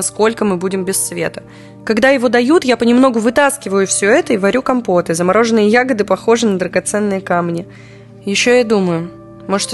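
A woman's voice: mid-range voice, lightly acted. An actress playing an anxious, reflective young woman in a diary-like audio play monologue. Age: young adult. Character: young Kyiv woman, anxious and reflective, living through wartime blackouts.

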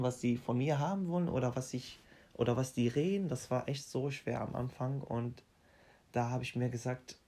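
A young man, talking in an even, middle-pitched voice. He speaks haltingly and often restarts his sentences. His phrasing stays plain and simple. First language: Arabic. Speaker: interviewee. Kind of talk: casual interview.

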